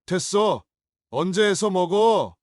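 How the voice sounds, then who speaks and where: An actor playing a drunk, contemptuous adult son in an audio drama, talking in curt, scornful demands. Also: male voice, high voice, lively intonation, slow pace, no room sound, clean studio sound.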